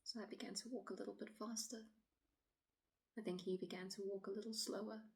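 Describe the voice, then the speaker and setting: A woman acting a shaken city woman in a dramatic stage monologue, pleading her account to police in a halting, often hushed voice. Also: medium pitch, fairly even intonation, lightly acted. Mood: haunted, fearful. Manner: halting, hushed, often breathy near-whisper.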